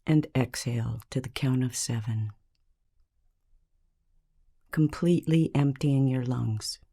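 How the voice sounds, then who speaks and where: A woman narrating a scripted guided meditation in a calm, measured, soothing manner with long pauses. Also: very deep voice, slightly rough.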